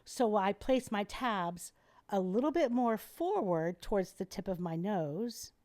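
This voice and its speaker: Animated woman explaining like a teacher. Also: medium pitch, unhurried. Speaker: airway specialist guest. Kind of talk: podcast interview, explaining and demonstrating.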